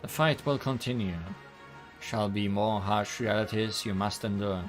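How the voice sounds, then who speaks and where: A commanding woman sage delivers a measured, oratorical address to her followers in a fan-dubbed cartoon, as a man voices her. The voice sits fairly low and steady, and soft music plays faintly behind. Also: close to an everyday voice. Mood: rousing. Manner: solemn, oratorical, measured speechmaking.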